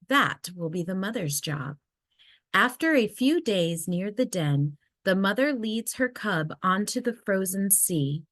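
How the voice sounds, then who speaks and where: A woman reading a children's nonfiction picture book aloud in a measured, expressive storytelling way. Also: lowish voice, no echo. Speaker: read-aloud narrator.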